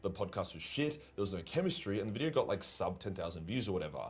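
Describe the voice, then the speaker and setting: Fast husky man, lively defensive delivery. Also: deep voice. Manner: brisk, defensive, argumentative. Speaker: YouTuber. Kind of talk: scripted response video.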